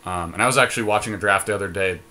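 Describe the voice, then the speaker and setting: Male podcast co-host, lower register, casual gamer chat, easygoing and joking. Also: rapid-fire, sharp tone. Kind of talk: casual chat during a card game draft.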